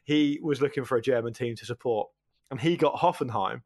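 A man's voice: medium pitch, brisk, darkish tone. A British man chatting casually as a co-host of a football podcast.

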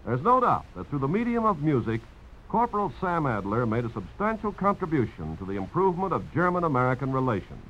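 A man's voice: mid-range voice, sing-song, a little fast, a bit bright. A male radio announcer reads scripted narration with a widely varied intonation. His delivery is formal and polished. The old broadcast sounds dull, with faint noise.

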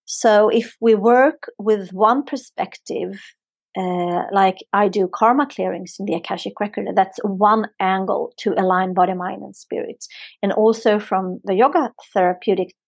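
A woman's voice: medium pitch, steady tone, measured pace, no echo. Swedish-accented woman, earnest and flowing. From Sweden. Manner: earnest, flowing, repeats herself for emphasis. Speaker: yoga therapist and physiotherapist guest. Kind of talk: podcast interview answer.

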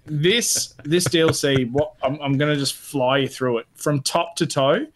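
A man co-hosting a casual gaming podcast, talking in a cheeky, jokey, animated manner. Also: fairly high pitch, unhurried, noisy background. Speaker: podcast co-host.

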